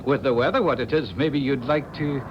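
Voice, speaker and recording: An actor playing a mysterious, whimsical old Irish storyteller in a 1970s radio drama, with a theatrical lilting delivery. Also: male voice, mid-range voice, moderate pace, lightly acted. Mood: sly, genial. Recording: dull, hissy 1970s radio broadcast recording.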